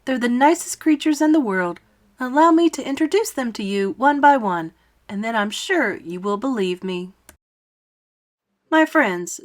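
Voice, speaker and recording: A woman's voice: on the high side, close to an everyday voice. A woman voicing a cheerful, polite small boy in a storybook, eager and proud, with a lilting delivery. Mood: proud, fond. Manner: eager, lilting, recites in rhyme.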